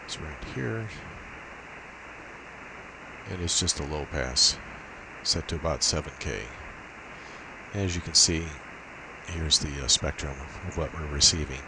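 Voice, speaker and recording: A man giving an informal, halting technical demo, thinking aloud as he walks through his setup. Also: a bass voice. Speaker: amateur radio operator giving a tech demo. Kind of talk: informal screen demo walkthrough. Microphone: built-in laptop microphone. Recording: steady hiss from cheap laptop microphone.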